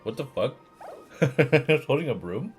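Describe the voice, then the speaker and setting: Deep-voiced, sarcastic woman streaming a game. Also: sing-song, slow, faint music behind. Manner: sarcastic, exclamatory. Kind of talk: reactive gameplay stream commentary.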